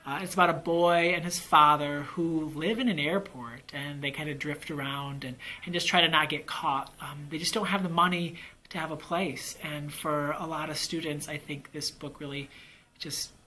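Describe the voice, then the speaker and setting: A man's voice: a little high, steady tone, moderate pace, bright, forceful tone, poor audio. An enthusiastic American man, a teacher casually recommending books in a vlog, emphatic and given to repeating himself for emphasis. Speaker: elementary school teacher and book reviewer. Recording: faint background noise, ambient sound.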